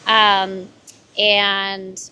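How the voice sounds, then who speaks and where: Bright-voiced American woman, casual guest. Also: medium pitch, completely flat delivery, very slow, smooth, low-quality recording. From Boston, United States. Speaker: panel guest. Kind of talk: casual chat.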